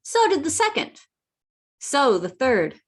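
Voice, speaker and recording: A woman's voice: sits high, huge pitch swings, slow pace, slightly rough, heavily acted. A woman playing an earnest storyteller narrating a sea adventure in a scripted radio play.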